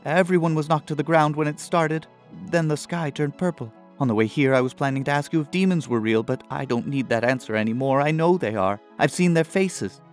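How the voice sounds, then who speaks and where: Young rebellious princess male performer for fantasy audio drama: highish, frightened, urgent, music behind. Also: a little fast, hardly any pauses, close to an everyday voice. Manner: breathless, urgent.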